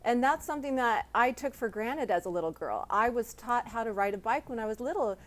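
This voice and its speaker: A woman's voice: on the high side, expressive, a little fast. A woman hosting a sit-down interview, speaking in an effusive, complimentary and empathetic way. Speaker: interviewer and host.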